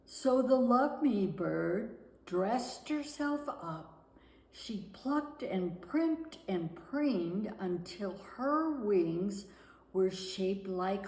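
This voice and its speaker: Very slow, expressive woman storyteller. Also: mid-range voice, gravelly. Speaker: guest storyteller. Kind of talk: children's picture-book read-aloud. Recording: roomy, echoing room sound.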